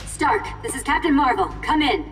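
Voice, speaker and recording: A dutiful, formal superhero captain in a video game. Her voice is fairly high, set back from the mic in a long reverb, with faint music. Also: animated, normal speed, a bit bright, far from the mic. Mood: urgent, formal. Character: brisk, military female superhero captain, adult. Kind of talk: video game cutscene acting.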